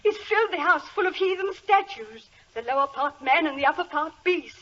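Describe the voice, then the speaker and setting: An actress playing a frightened, superstitious country housemaid, in excitable 1940s radio style. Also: female voice, high voice, brisk. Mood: frightened, hysterical. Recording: muffled, noisy old radio recording.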